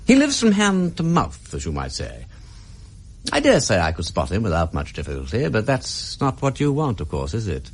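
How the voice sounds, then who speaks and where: Posh english gentleman detective, droll and bookish, pitch swoops all over, bit husky, radio play. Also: huge pitch swings, normal speed, full character voice. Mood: musing, wry. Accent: upper-class English. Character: urbane, witty aristocratic gentleman sleuth. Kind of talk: radio drama dialogue.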